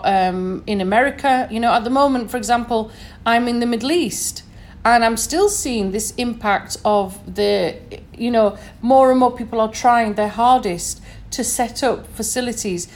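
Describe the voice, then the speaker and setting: A woman with a Sheffield accent speaks in a fairly high voice, talking earnestly to her listeners like an educator. She keeps checking in with a quick "okay?" as she works through her points. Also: a little mellow. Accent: Sheffield English. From Sheffield, northern England. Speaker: educator giving a talk. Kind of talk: informal recorded talk to listeners.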